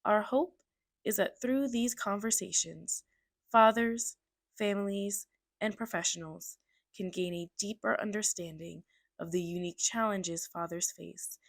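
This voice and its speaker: A woman reading a scripted introduction in a measured, deliberate way. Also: mid-range voice, gravelly, no room sound. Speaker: introduction narrator. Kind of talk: scripted narration.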